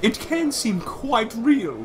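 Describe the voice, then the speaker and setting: A man's voice: extremely high pitch, very animated, slow, crowd noise, pitched above the performer's own voice, heavily acted. A man voicing a friendly, chatty comic theatregoer in a tabletop game. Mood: chatty, amused.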